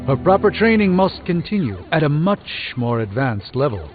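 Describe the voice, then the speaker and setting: An actor playing a middle-aged, erudite sorcerer mentor in a video game, speaking with formal, grandiose eloquence and dry wit. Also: male voice, on the high side, big pitch swings, moderate pace, phone-quality sound. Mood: warm, proud. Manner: theatrical, eloquent, formal, wry. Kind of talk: video game character dialogue. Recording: game dialogue over prominent music.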